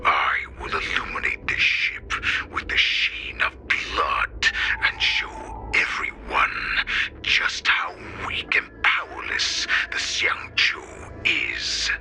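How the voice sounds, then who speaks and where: An actor playing a ruthless, proud wolf-man warlord in a video game, with a menacing, breathy growl and theatrical delivery. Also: low-pitched, big pitch swings, full character voice. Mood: bloodthirsty boasting. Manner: slow, theatrical, menacing speech. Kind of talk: video game cutscene acting. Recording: loud game music behind the voice. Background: game soundtrack music.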